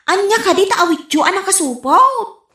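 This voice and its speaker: A woman with a northern Philippine accent playing an excitable, nosy young woman in a comedy radio drama, exclaiming shrilly. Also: very high voice, moderate pace, full character voice. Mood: concerned, surprised. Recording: roomy, echoing voice recording.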